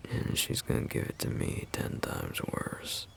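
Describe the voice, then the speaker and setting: A man playing a sweet, bashful wolf-shifter boyfriend in an intimate ASMR roleplay, speaking softly and haltingly in a breathy murmur. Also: lightly acted. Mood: flustered, sheepish. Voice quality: very deep, breathy, gravelly murmur. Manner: hesitant, halting, soft-spoken. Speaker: audio roleplay performer.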